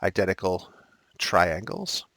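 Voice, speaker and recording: A man teaching a recorded math lesson, thinking aloud and correcting himself as he works problems, his voice slightly raspy. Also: low-pitched, slow pace, fairly bright tone, no echo, studio quality.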